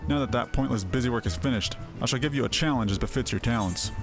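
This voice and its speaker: A man's voice: medium pitch, quick pace, close to an everyday voice. A man voicing a stern, sceptical veteran mercenary captain in a fantasy game, speaking in a blunt, formal, archaic way. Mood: brisk. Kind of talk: voiced fantasy game dialogue. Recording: game music behind voice. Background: game music.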